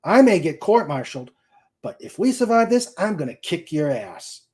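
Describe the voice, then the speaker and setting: Man acting out an angry fighter pilot, raised pitch. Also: very animated, moderate pace, a little mellow. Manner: heated, growling complaint. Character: angry, foul-mouthed male fighter pilot fuming at his leader.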